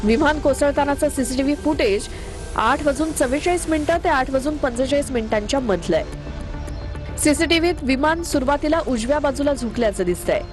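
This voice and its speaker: A woman's voice: high voice, animated, brisk. A woman reading a scripted news narration. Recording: voice-over with prominent music bed. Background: background music.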